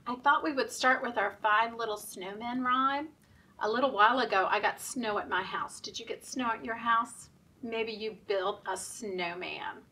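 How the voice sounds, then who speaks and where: A woman leading story time for young children in a sing-song, deliberate, encouraging way, often asking the children questions. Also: on the high side, pushed and bright, recorded from a distance.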